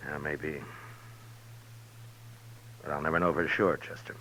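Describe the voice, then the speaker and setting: A stern, weary American lawman of the Old West in a radio western. His voice is very low and hard-edged, and he talks in terse, grave, commanding lines. The old recording has a steady noise under it. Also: measured pace, heavily acted, rough recording. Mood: grim, weary. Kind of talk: 1950s radio western acting.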